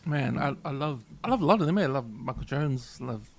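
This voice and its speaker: A man's voice: mid-range voice, animated, fairly quick, gravelly. A man in his forties with a New Zealand accent, co-hosting a sports podcast in an easygoing, rambling way. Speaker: podcast co-host. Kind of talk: casual chat.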